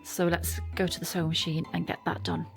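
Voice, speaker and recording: A woman's voice: fairly low pitch, sing-song, a little fast, loud music behind the voice. A British woman giving a casual, chatty craft tutorial as a vlog host. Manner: chatty, informal.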